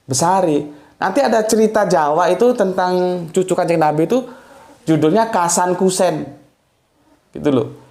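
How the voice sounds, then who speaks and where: A man's voice: high voice, a little mellow. A man giving a casual religious talk, explaining things in a digressive way, chuckling now and then and tagging his lines with little fillers. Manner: digressive, explanatory, tags lines with "ya" and "gitu loh". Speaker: Islamic speaker. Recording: roomy echo.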